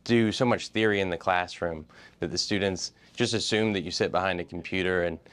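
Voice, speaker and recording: A man, a high school teacher, talking earnestly about his students in an interview. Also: a little fast, fairly bright tone. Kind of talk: interview soundbite.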